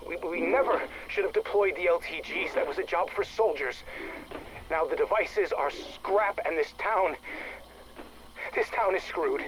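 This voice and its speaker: Agitated scared specialist, high pitched for a man, halting n bitter, faint noise on the tape. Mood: shaken, despairing. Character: anxious, bitter male project specialist recording testimony in hiding. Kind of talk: recorded audio log monologue. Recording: noisy audio log tape.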